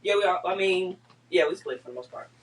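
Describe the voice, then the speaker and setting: A man in his thirties co-hosting a podcast, telling stories in a lively, teasing way. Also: high-pitched, fast, far from the mic, a little hiss or hum. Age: 30s. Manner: animated storyteller, laughing and teasing. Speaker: podcast co-host. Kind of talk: casual chat.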